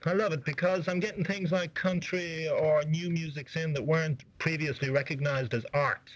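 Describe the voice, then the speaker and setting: A man's voice: on the high side, fairly even intonation, moderate pace, muffled recording. An Irish man working as a music journalist, interviewing someone conversationally over the phone. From Ireland. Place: on a phone call.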